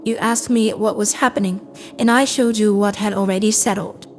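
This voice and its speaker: A woman playing a calm, impassive AI assistant, narrating a scripted audio drama in a measured, detached, deliberate way. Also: mid-range voice, slight background noise, close to an everyday voice. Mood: detached, explanatory. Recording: cinematic music behind. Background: cinematic score.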